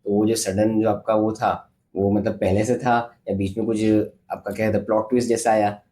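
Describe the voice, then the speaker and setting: A male podcast host with an Indian accent speaks in a fairly low, mellow voice. He talks in a conversational interview style, addressing guest and audience. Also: little pitch movement, moderate pace. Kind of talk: conversational Hindi-English interview.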